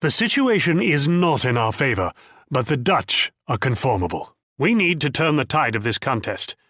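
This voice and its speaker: Pompous Dutch commander, animated delivery. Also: male voice, medium pitch, normal speed, dry recording, clean studio sound. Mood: determined. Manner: pompous, patronizing, theatrical. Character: proud, condescending Dutch commander, wealthy and shrewd. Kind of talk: video game character voice lines.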